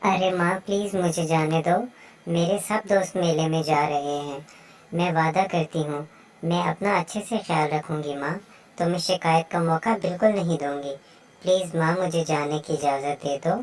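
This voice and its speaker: A woman's voice: sits low, steady tone, moderate pace, a little mellow, natural voice. A woman voicing an eager, playful young bird child in a children's moral story cartoon. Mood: pleading.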